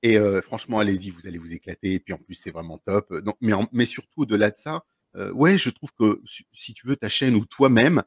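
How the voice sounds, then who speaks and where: A man's voice: fairly low pitch, brisk, husky, no echo, studio quality. A man, hosting a casual live video chat at a desk microphone, chatty and encouraging, often repeating himself. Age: 30s. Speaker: host of a live stream. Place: indoor room at home. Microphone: large desk microphone.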